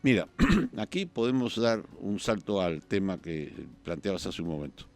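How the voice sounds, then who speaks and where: Un periodista peruano, invitado en un programa de debate político, que habla pausado y piensa en voz alta entre muletillas. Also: male voice, sits low, very animated, faint music behind. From Peru. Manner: measured, thinking aloud with pauses and fillers. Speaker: journalist guest. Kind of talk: political talk-show discussion.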